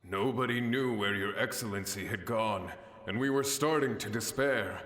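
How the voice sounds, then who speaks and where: A man's voice: fairly low pitch, moderate pace, fairly bright tone, slight background noise, natural voice. A man voicing a devoted, rousing samurai squad leader in a game, speaking in a stirring, formal way. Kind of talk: game voice acting. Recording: roomy reverb over game music.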